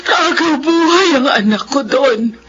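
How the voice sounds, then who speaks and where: Sobbing old mom, high pitched n slow, dull radio sound. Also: female voice, expressive, fairly bright tone. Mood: grieving. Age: older. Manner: weeping, emotional. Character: grieving elderly mother sweeping her yard. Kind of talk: radio drama acting. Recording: lo-fi radio drama with music.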